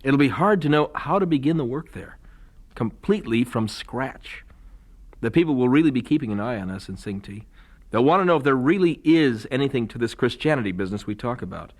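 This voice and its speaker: An actor playing a principled young missionary husband in an audio drama, earnest with a dry, teasing humor. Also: male voice, medium pitch, expressive, a little fast, lightly acted.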